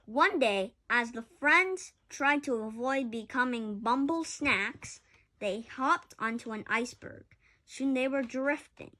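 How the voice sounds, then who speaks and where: A woman with a fairly high voice reads a children's story at a slow pace. Her delivery is expressive, and she now and then stumbles over a word and corrects herself. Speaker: story reader. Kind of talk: children's picture-book read-aloud.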